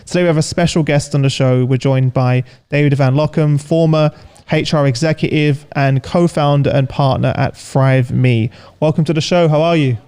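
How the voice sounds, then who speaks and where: A man's voice: on the high side, steady tone, moderate pace, dark tone, clean tone. A man hosting a podcast, opening the show and putting questions to his guest in an upbeat, polished way. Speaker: podcast host. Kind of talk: scripted podcast intro and interview questions.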